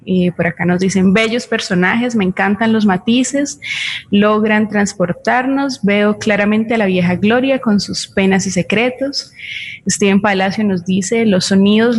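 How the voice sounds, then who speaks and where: Colombian woman with faint background noise. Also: mid-range voice. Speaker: co-host reading audience comments. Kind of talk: reading out greetings and comments.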